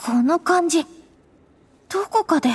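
A woman's voice: very high voice, expressive, unhurried, a little hiss or hum. A woman voicing an earnest, caring teenage ranger girl in an anime game, talking in a boyishly informal way. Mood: uneasy.

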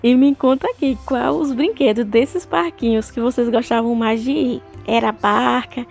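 A woman vlogger, chatting to viewers while touring a park at night, her voice a bit hoarse, recorded on a phone. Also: fairly high pitch, normal speed, muffled recording, music in the background. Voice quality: hoarse at times. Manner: chatty, addresses viewers directly. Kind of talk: casual vlog tour narration. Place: outdoor city park at night. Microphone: phone camera at arm's length.

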